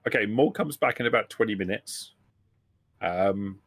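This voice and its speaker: A man acting as game master, improvising descriptions of scenes for a tabletop roleplaying game in a halting way. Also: on the low side, lively intonation, fairly bright tone, no echo.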